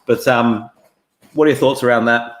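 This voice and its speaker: A man hosting a casual live-streamed interview on a video call, relaxed and full of ums, calling his guest mate. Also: medium pitch, moderate pace. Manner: relaxed, uses fillers like um, calls guest mate. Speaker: host. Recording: echoey room on a video call.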